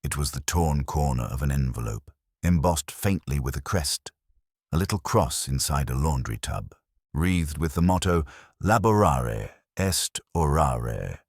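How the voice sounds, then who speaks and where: A man narrating a period mystery story in a measured, dryly humorous manner. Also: rumbling low, darkish tone, husky, dry recording, studio quality.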